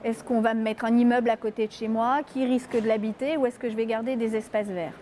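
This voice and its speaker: A woman's voice: on the high side, fairly even intonation, fast, mellow, slight background noise. A woman, a local elected official, explaining things spontaneously in an interview.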